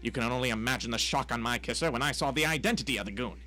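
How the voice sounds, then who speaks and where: A man's voice: mid-range voice, racing, bright, forceful tone, faint music behind, close to an everyday voice. A man playing a wisecracking, hapless private detective in a noir radio drama, theatrical and full of old-timey slang. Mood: wry narrating. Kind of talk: hard-boiled noir radio drama narration.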